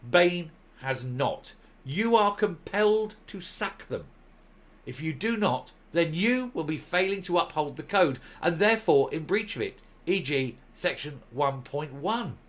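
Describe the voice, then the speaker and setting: A male commentary host reading a document aloud and commenting on it, stressing key words with deliberate, emphatic phrasing. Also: a little high, lively intonation, a bit bright.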